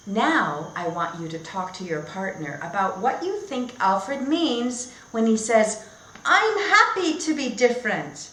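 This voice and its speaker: A woman teacher demonstrating read-aloud storytelling and instruction in simple, expressive phrasing. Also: mid-range voice. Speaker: teacher trainer. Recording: echoey room.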